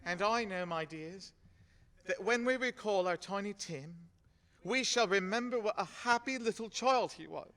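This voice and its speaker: Radio play dad played by a man, grieving yet gentle, bright earnest delivery, long reverb. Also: high voice. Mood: tender, bittersweet. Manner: tender, halting, earnest. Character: gentle, grieving, loving working-class father.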